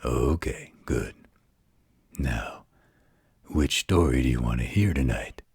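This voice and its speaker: A man voicing a gentle, patient werewolf in a slow, soothing bedtime roleplay, with a husky growl. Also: no room sound, studio quality, exaggerated voice. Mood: calm storytelling. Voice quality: very deep gravelly husky growl. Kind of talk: intimate bedtime roleplay audio.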